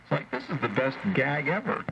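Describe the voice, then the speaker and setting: A man's voice: mid-range voice, lively intonation, brisk. A man chatting casually over shortwave ham radio in a wry, opinionated, joking way, like an operator in a group discussion. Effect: shortwave radio transmission. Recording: dull, noisy shortwave radio reception. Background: radio static.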